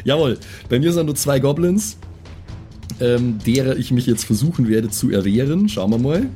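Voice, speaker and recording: A man's voice: mid-range voice, lively intonation, moderate pace, warm tone, soft background music. A man chatting casually as a player in a tabletop role-playing game.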